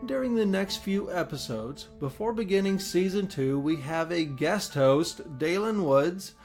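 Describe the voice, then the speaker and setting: A man's voice: high-pitched, expressive, unhurried, darkish tone, soft background music. A middle-aged American man chatting casually and easily as an author being interviewed. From United States.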